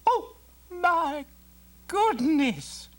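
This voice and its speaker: A storyteller voicing a boy's mother in a very high, shrill voice that rises to a scolding shriek. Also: sing-song, measured pace, exaggerated voice. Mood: furious. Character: frantic, furious mother of a boy.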